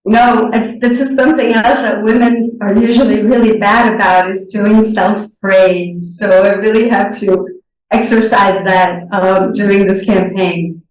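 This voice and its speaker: A young woman whose first language is Portuguese, answering interview questions deliberately and often pausing to search for words. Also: mid-range voice, steady tone, a little mellow. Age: young adult. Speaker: interviewee, board candidate. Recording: echoey room, distant mic.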